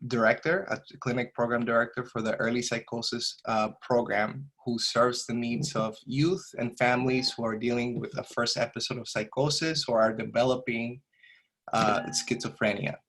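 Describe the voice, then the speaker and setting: A man's voice: medium pitch, a bit bright, poor audio. Hesitant man introducing himself on a panel. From Dominican Republic. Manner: hesitant, many fillers and restarts. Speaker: clinic program director. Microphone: video call.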